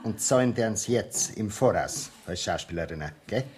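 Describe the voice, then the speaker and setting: An actor playing a gruff, distrustful lodging-house keeper with a Bavarian accent and a dry, curt manner in a 1970s radio play. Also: male voice, on the low side, lively intonation, normal speed, darkish tone, lightly acted. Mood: dry, suspicious.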